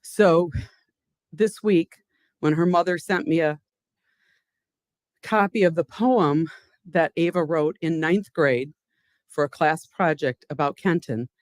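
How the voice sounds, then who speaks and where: An older woman speaking on her late husband's behalf at an awards gala, heartfelt and halting, with personal stories. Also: low voice, darkish tone, no echo. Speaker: award recipient's widow accepting on his behalf. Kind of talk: emotional acceptance speech.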